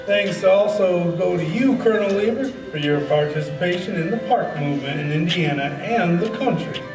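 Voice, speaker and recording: A man's voice: high voice, lively intonation, moderate pace. A man playing a rich, grateful parks philanthropist in an amateur radio play, with a formal, declamatory delivery. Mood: proud, earnest. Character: rich, grateful philanthropist, former soap-company tycoon, parks champion. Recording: roomy, distant mic, music behind.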